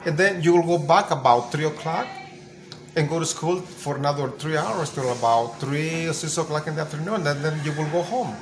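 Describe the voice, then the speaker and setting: Older grandpa from mexico, kinda high voice, halting, lots of hiss behind. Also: male voice. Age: 60s. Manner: slow, halting, repeats himself. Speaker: interviewee, grandfather. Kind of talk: casual family interview. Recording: noisy home recording.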